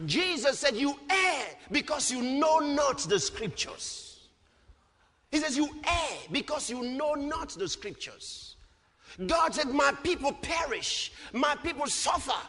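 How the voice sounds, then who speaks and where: A man's voice: very high voice, sharp tone. A man preaching an impassioned sermon, emphatic and repetitive, punctuated with rhetorical questions. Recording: long hall reverb.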